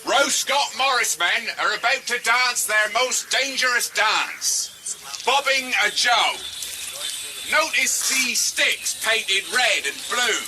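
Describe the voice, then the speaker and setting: An English army corporal and morris dancer in a radio play. He is plain-spoken and earthy, with a high voice and clear music behind him. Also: expressive, slow, full character voice. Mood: cheerful announcing.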